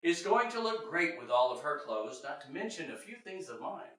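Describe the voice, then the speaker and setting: A man's voice: on the high side, sing-song, moderate pace, bright, forceful tone. Breezy storybook little girl, done by a man, echoey. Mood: breezy, pleased. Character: fashion-loving eight-year-old girl, breezy and sure. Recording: very echoey room, distant mic.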